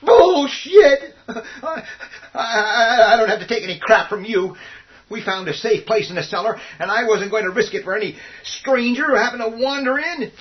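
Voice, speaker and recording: A defensive, stubborn man in a horror radio drama. His very high voice swoops with agitation as he argues, on slightly muffled audio. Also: normal speed. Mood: agitated, stubborn. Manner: agitated, argumentative. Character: stubborn, panicky, defensive family man survivor. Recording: dull lo-fi audio.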